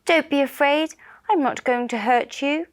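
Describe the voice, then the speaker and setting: Woman voicing a soft, gentle, lonely shark. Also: high-pitched, a little slow, pitched above the performer's own voice. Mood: reassuring. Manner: shy, earnest, simple childlike phrasing. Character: gentle, lonely young male shark, shy and tender. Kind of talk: children's puppet show storytelling.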